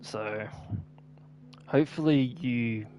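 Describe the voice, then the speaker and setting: A man's voice: medium pitch, takes it slowly, a little mellow, poor audio. A man giving casual, unscripted gameplay commentary in an offhand, rambling way. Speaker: gaming video host.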